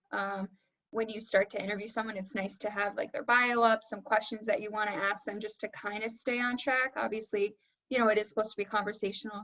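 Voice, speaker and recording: A woman's voice: medium pitch, creaky, fairly bright tone, rough recording. A woman moderating an informal panel discussion and giving practical tips.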